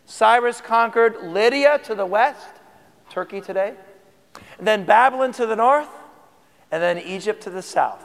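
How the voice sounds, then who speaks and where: A man's voice: high-pitched, measured pace, vocal fry, fairly bright tone, long reverb tail. A man preaching a sermon in a conversational way, asking the congregation questions, his voice tired. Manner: conversational, asks the audience questions. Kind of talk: sermon teaching.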